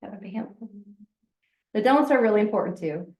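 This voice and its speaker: Loud, chatty woman teaching in an echoey room. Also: lively intonation, a little fast. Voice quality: lower voice. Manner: loud, chatty, laughs often. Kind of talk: informal workshop talk. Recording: echoey room, distant mic, dull sound.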